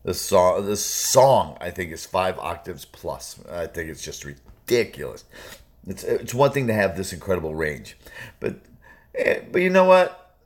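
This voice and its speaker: A man with an extremely deep baritone hosts a music reaction video. He talks in a loose, rambling way, full of fillers. He keeps stressing his points with emphasis. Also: sing-song, measured pace. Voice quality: low baritone voice. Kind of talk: casual reaction commentary.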